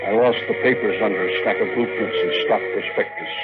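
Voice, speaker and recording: A man's voice: fairly low pitch, steady tone, fairly quick, close to an everyday voice. Older male magnate, played by an actor, obsessed and commanding; vintage radio drama, narrow tinny sound with music behind. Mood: reflective, determined. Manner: insistent, commanding. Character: driven, stubborn aging rocket tycoon obsessed with the moon. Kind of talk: 1950s radio acting.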